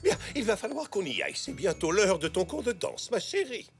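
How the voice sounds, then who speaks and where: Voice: medium pitch, huge pitch swings, a little fast, gravelly. A performer voicing a fussy, easily flustered grown male zebra in a French children's cartoon with music behind. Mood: fussy, hurried.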